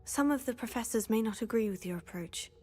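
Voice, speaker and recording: A courteous young witch student in a video game. She sounds inquisitive, with a fairly high, breathy voice over faint music. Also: expressive, brisk, mellow. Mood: polite, curious. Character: polite, curious young female magic-school student. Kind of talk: scripted game dialogue.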